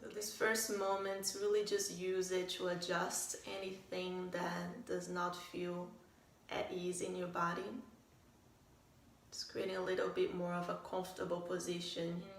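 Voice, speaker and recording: A woman leading a guided meditation as a teacher, speaking in a calm, gentle way with unhurried phrasing and pauses. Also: on the low side. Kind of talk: guided meditation, soft instruction. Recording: roomy, distant mic, faint noise.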